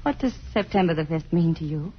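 A woman's voice: sits low, lively intonation, brisk, slight background noise. An actress playing a romantic, idealistic woman in love, ardent and playful in a theatrical old radio drama style. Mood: playful, tender. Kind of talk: 1950s radio drama acting. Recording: muffled old radio broadcast.

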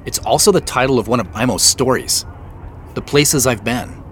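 An actor playing a wealthy company boss on a mysterious quest, in a naturalistic audio drama. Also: male voice, mid-range voice, moderate pace, fairly bright tone, a little hiss or hum, natural voice. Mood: intrigued.

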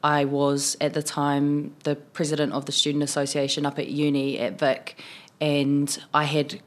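A woman's voice: deep voice, monotone, moderate pace. A woman in her twenties from New Zealand with a New Zealand accent, a city councillor chatting casually in a podcast interview, full of "um" and "like". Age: 20s. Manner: chatty, many fillers like "um" and "like".

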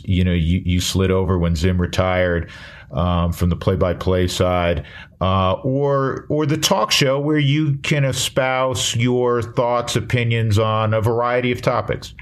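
Low-voiced man, rambling sports interviewer. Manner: rambling, roundabout questions. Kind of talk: casual, conversational sports interview.

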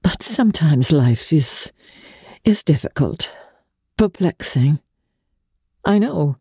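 A woman voicing a refined, earnest young society lady in a dramatic storybook reading, halting and breathless. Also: sits low, expressive, warm tone, breathy edge, dry recording, studio quality, exaggerated voice.

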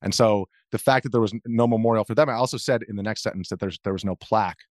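An American man speaking as an interview guest, earnestly explaining his perspective in halting conversational phrases. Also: fairly low pitch, a little mellow, no echo, clean studio sound. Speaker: interview guest, video journalist.

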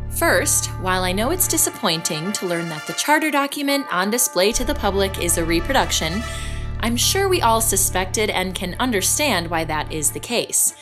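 A woman narrating a podcast in a scripted, wry, confiding manner. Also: mid-range voice.

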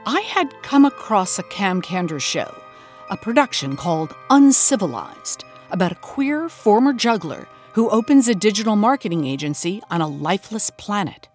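A woman's voice: medium pitch, sing-song, normal speed, a little mellow, soft background music. A woman hosting an investigative podcast, narrating and interviewing in an earnest, dramatically pondering way. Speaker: host and interviewer.

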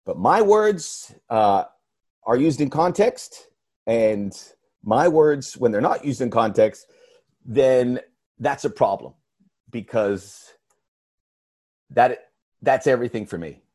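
A man's voice: mid-range voice, animated, takes it slowly, pauses often, darkish tone. A man hosting a sports podcast, talking in an earnest, emphatic way and often restarting his phrases. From United States. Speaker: podcast host. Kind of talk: casual podcast talk.

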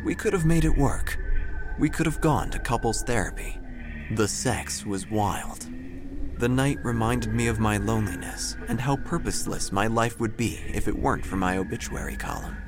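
An actor playing a melodramatic, self-important obituary writer who narrates an audio drama with theatrical pauses. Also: male voice, on the low side, music in the background, lightly acted. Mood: wistful, regretful. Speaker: narrator and protagonist. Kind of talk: first-person audio drama narration, gothic parody.

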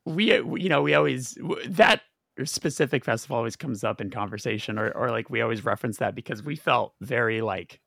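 Male podcast host, gravelly, chatty and rambling, dry close mic. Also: medium pitch, fairly quick, a bit bright, no echo. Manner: chatty, loose, many fillers and restarts. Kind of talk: casual podcast interview.

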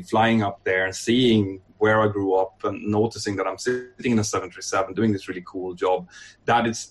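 A man from northern Sweden, an airline training captain, answering interview questions in a relaxed, thoughtful way with fillers and restarts. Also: fairly low pitch. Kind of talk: podcast interview.

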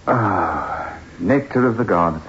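Low-voiced, patronising young husband. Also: male voice, animated, fairly bright tone, heavily acted. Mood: affable, teasing. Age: young adult. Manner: brisk, dismissive, condescending. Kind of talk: 1960s radio horror drama acting. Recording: dull, noisy old radio recording.

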